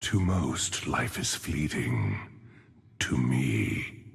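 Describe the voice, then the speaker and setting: Game villain-hero: grim, weary old gravedigger monk, husky gravel rasp, crawling solemn delivery, long echoing tail. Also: male voice, very deep voice, expressive, heavily acted. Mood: mournful, weary. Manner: slow, solemn, brooding. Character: ancient weary male necromancer monk, grim, tormented. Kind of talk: video game character voice lines. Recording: long cavernous reverb.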